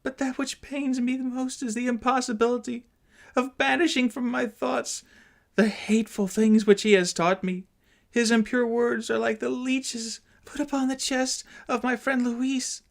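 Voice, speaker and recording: A man voicing a distressed, ashamed twelve-year-old girl in an audiobook, with a trembling, halting delivery. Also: very high-pitched, flat delivery, vocal fry, voice pushed up, exaggerated voice. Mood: ashamed, pleading. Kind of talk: dramatised audiobook reading.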